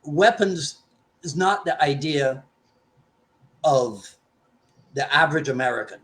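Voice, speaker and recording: Caribbean-born guy talking martial arts, kinda high voice, keeps restarting his sentences. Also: expressive, takes it slowly, a bit bright. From Trinidad, raised in Barbados. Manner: thoughtful, restarts often, stresses key points. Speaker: guest martial arts instructor. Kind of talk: casual discussion.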